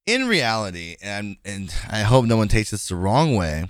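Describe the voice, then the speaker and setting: A man's voice: lowish voice, very animated, normal speed, smooth, no room sound. A man in his twenties hosting a casual podcast conversation, speaking frankly and rambling with many fillers and restarts. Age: 20s. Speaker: podcast host.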